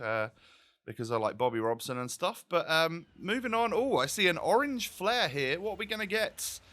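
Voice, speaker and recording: A man's voice: a little high, pushed and bright. A man hosting a gaming video with casual, chatty, rambling and self-deprecating commentary.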